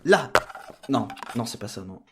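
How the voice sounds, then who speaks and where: A man's voice: medium pitch, expressive, measured pace, noisy background, close to an everyday voice. A man playing a cynical lab-created assistant with dry sarcasm in an audio comedy. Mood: sarcastic.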